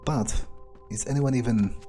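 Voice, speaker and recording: A man's voice: mid-range voice, a little slow, mellow, soft background music. A man musing in character as he plays through a game, hesitantly thinking out his next steps. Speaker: gamer playing in character.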